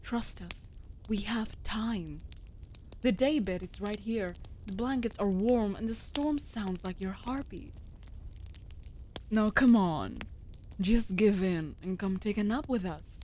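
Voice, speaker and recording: A stoic but caring female wolf familiar played by a woman from a fantasy audio roleplay. She coaxes softly and trades dry, teasing remarks in a mid-pitched voice. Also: slow pace, a little hiss or hum, close to an everyday voice. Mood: caring, coaxing. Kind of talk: scripted comfort audio roleplay.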